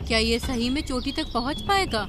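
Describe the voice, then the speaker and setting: A woman's voice: high voice, expressive, normal speed, music in the background. A woman voicing a small, curious, sensible female bird in an animal cartoon, questioning and concerned.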